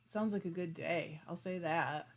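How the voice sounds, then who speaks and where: A woman hosting a fiber arts live stream, chatting casually with viewers and reading their comments aloud while she spins yarn. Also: fairly low pitch. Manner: chatty and warm, with frequent laughs and quick asides; talks while spinning yarn.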